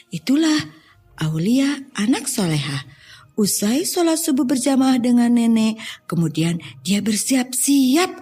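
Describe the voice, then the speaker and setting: A woman's voice: a little high, darkish tone, breathy edge. A woman narrating a children's story expressively, addressing young listeners directly and encouragingly.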